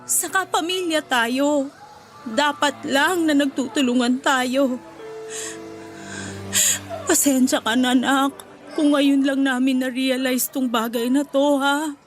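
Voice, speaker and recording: Sorry pleading mom, filipino radio drama, high voice. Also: female voice, steady tone, slow pace, pauses often, soft background music. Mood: remorseful. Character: remorseful mother pleading for her daughter's forgiveness.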